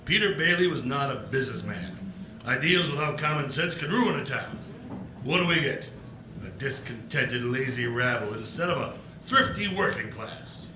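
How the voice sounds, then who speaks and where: A man's voice: medium pitch, expressive, normal speed, lightly acted. A man playing a cold, greedy, contemptuous businessman in a live 1940s-style radio play, speaking curtly and scornfully. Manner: curt, scornful. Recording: roomy live stage, faint noise.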